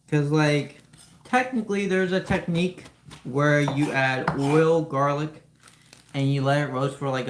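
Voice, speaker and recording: A man's voice: mid-range voice, gravelly. A man from Ukraine live-streaming from his home kitchen on a phone, chatting casually with viewers and explaining each cooking step as he goes. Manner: chatty, greets viewers and explains steps while chopping. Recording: roomy kitchen with background noise.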